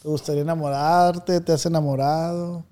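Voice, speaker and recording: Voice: mid-range voice, steady tone, normal speed, mellow. Playful, teasing interviewer. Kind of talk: casual interview.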